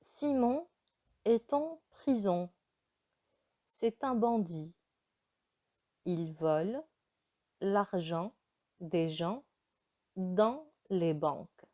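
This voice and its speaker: Woman teacher, slow and mellow, patient beginner lesson with pauses. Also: medium pitch, lively intonation. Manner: slow, careful teaching delivery with frequent hesitations. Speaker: French teacher.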